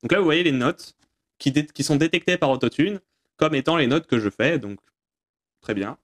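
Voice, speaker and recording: A man presenting an informal, off-the-cuff software tutorial, hesitant and self-deprecating as he explains things. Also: fairly high pitch, normal speed. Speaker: tutorial presenter answering viewer questions.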